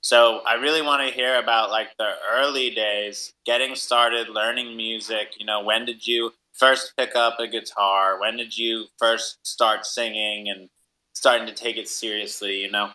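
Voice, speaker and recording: A man with a very bright, punchy voice hosts a podcast. He is enthusiastic and upbeat, talking in a casual, slangy way as he addresses guests and listeners. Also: on the low side, flat delivery, unhurried, poor audio. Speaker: podcast host.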